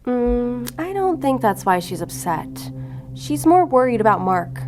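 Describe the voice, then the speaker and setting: A woman's voice: on the high side, sing-song, unhurried. An actress playing a shrewd, controlled female investigator in an audio drama, firm and needling. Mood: cool, needling. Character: cool, shrewd female investigator, bluffing and relentless. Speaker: law enforcement agent. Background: music under the voice.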